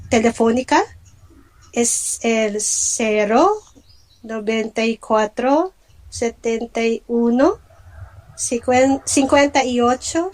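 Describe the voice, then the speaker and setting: A woman's voice: a little high, darkish tone, rough recording. A woman from the Philippines with a Filipino accent and Filipino as first language, giving careful answers in an oral exercise. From Philippines, Manila. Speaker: language student.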